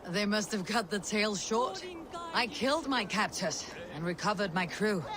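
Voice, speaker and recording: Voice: medium pitch, lively intonation, moderate pace, natural voice. An actor playing a proud, defiant young Viking warrior in a video game, blunt and challenging. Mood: resolute. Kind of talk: dramatic video game acting.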